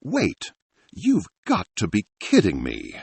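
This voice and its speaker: A man's voice: lowish voice, huge pitch swings, slow, creaky, darkish tone, breathy edge, no echo, full character voice. A man voicing a devoted, determined woman doctor in an audio story, earnest and dramatic. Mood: shocked.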